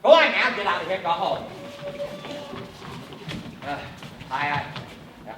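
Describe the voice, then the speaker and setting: A man's voice: sits high, sing-song, takes it slowly, bright, forceful tone, a little hiss or hum, full character voice. A stammering, blustering palace doorman. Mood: flustered. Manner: stammering, bossy then backpedaling. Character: flustered, blustering male palace doorman, middle-aged. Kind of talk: 1930s film musical acting. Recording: roomy old 1930s film soundtrack from far off, music behind.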